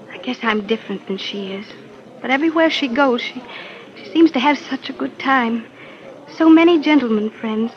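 A shy, wistful young woman , played by an actress, speaks softly and hesitantly. Her voice is high and comes through a dull old radio recording. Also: natural voice. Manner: soft, hesitant. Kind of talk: 1940s radio acting.

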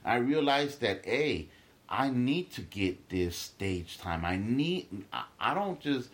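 A man's voice: mid-range voice, sing-song, slow. A young man from Guatemala, a stand-up comedian talking emphatically as an interview guest, swearing freely and restarting his sentences. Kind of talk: casual podcast interview.

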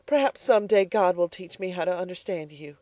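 A woman's voice: animated, fairly quick, warm tone, no room sound, lightly acted. A woman doing an earnest young farm girl. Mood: hurt, defiant. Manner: high and pleading, earnest. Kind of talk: audiobook character voice.

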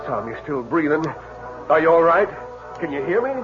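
An actor playing a blunt, sociable stagecoach driver in a 1950s radio western. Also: male voice, a little high, animated, normal speed, over music, natural voice. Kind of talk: 1950s radio drama acting. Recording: lo-fi old radio recording.

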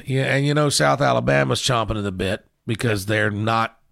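Guy with some gravel in his voice, chatting sports, folksy and rambly, dry close recording. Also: mid-range voice, normal speed, a bit bright, dry recording. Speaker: sports show co-host. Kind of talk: casual sports chat.

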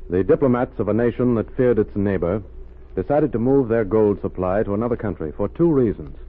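A man's voice: fairly low pitch, lively intonation, fairly quick. A man announcing and narrating a scripted radio drama in 1930s style. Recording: muffled phone-like 1930s radio recording.

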